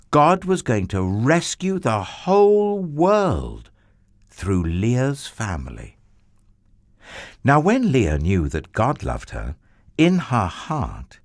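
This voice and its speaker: A man telling a children's Bible story in an expressive, theatrical way with drawn-out emphasis. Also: mid-range voice, dry recording, clean studio sound.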